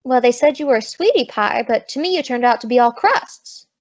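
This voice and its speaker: High-pitched sassy American showgirl, feisty but big-hearted, old radio comedy, dull recording. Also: animated, fairly quick. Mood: indignant, sassy. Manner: slangy, sassy. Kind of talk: 1950s radio comedy acting.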